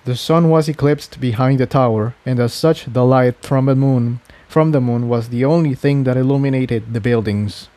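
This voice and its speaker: A man's voice: medium pitch, normal speed, few pauses, dark tone, dry recording. Man reading an adventure story aloud. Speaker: fan fiction narrator. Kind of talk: scripted story narration, audiobook reading.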